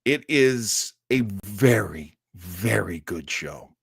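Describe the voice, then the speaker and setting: A man's voice: fairly low pitch, lively intonation, slow pace, creaky, slightly rough, no echo, studio quality. A middle-aged man hosting an old-time radio livestream in a casual, chatty, rambling way, effusive and self-deprecating.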